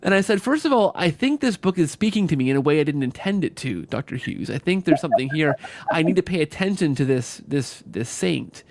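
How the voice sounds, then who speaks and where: A man's voice: on the high side, very animated, brisk, no room sound. A man hosting a conversational interview, effusive, repeating words and often tagging sentences with "right?". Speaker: podcast host.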